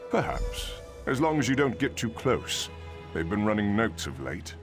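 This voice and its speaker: An actor playing a brusque, dutiful dark elf guard captain in a video game, curt, condescending and emphatic. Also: male voice, on the low side, sing-song, normal speed, over music. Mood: earnest, businesslike. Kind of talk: video game dialogue acting.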